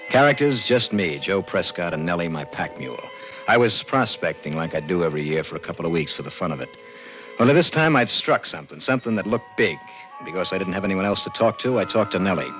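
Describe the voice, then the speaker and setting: A man's voice: low-pitched, lively intonation, fast talker, a bit bright. An actor playing a plain-spoken American garage mechanic in his thirties, narrating an old radio drama in an urgent, folksy way. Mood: urgent, insistent. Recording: dull old radio recording with music.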